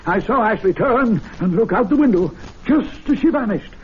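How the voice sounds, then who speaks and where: An actor playing an earnest, excitable club member in a radio drama, eager, worshipful and prone to stammering. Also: male voice, high-pitched, moderate pace, slight background noise. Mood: rapturous. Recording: dull vintage radio recording, loud music.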